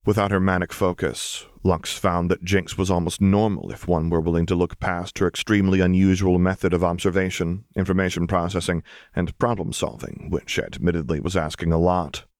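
A man's voice: low voice, flat delivery, a little fast, dry recording, studio quality. A man reading scripted fiction as an audiobook narrator.

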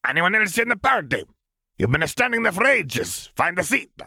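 A small alien waitress with a high, strained voice, put on by a male narrator. She is belligerent and contemptuous, barking in short, impatient bursts like someone with no time for customers in this storybook reading. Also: sing-song, normal speed, gravelly, sharp tone, husky, no room sound, clean studio sound, heavily acted.

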